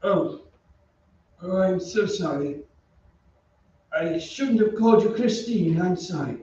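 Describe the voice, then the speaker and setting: A man's voice: high voice, lively intonation, takes it slowly, dark tone. A man playing a timid, anxious aging violinist in a radio drama, hesitant, deferential and apologetic in his speech. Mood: flustered, apologetic. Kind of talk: old-time radio drama acting. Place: theatre stage, live reading. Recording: echoey stage, distant mic, muffled, hiss.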